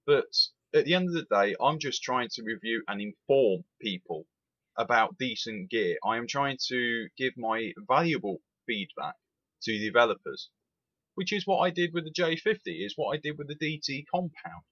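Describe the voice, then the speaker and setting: A man's voice: medium pitch, normal speed, breathy edge, lo-fi sound. A man, giving an opinionated, emphatic rant as a rail sim reviewer. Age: 20s. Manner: animated, emphatic, repeats itself.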